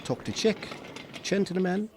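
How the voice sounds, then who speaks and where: A man's voice: high voice, animated. A man playing a grave, commanding older professor who hunts vampires, speaking formally in an audio drama. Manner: formal, instructing, deliberate phrasing. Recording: audible background noise.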